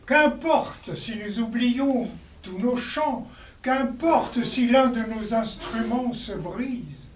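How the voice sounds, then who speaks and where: A performer reciting poetry in a deliberate, declamatory way with long pauses. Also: medium pitch, lively intonation. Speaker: performer reading poems in a show. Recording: roomy, distant mic, faint noise.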